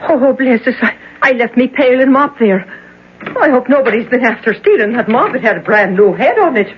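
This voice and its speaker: A high, breathy-edged voice of a superstitious Irish cleaning woman, played by an actress, earnest and folksy. It comes from an old radio play, the sound dull and lo-fi as on a vintage broadcast tape. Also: expressive, a little fast, slight background noise, close to an everyday voice. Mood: flustered. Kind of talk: 1970s radio drama acting.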